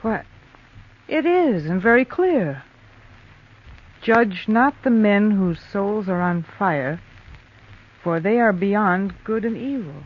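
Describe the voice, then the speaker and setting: A woman's voice: mid-range voice, expressive, slow, long pauses. A guarded young American femme fatale speaks in clipped, businesslike phrases. She is cool and shrewd, heard through the dull sound of a vintage radio crime play. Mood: guarded interest. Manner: clipped, wary, cold. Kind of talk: 1940s radio drama acting.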